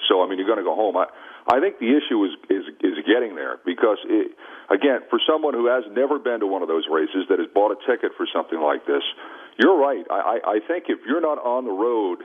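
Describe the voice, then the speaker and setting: A man's voice: fairly low pitch, animated, brisk, slightly rough. An American man giving casual, emphatic sports commentary as a radio guest, repeating himself to make his points, over a phone line. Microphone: phone call. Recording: tinny band-limited phone line on radio.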